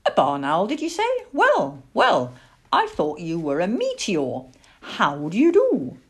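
A woman's voice: on the low side, huge pitch swings, unhurried, slightly rough, heavily acted. A woman voicing a genial, enthusiastic grown man who stargazes, explaining politely and exclaiming. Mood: startled.

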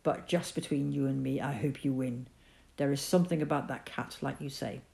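A woman voicing a kindly farm mother, warm and gentle, in a storybook reading. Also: lowish voice, unhurried, natural voice.

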